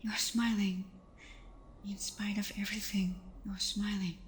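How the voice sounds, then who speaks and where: A woman playing a tender, playful vampire girlfriend in an intimate ASMR roleplay, speaking softly and often slipping into whispers with hesitant pauses. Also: mid-range voice, level tone, slight background noise, lightly acted. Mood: affectionate. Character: tender female vampire girlfriend, reassuring, playful, a little vulnerable.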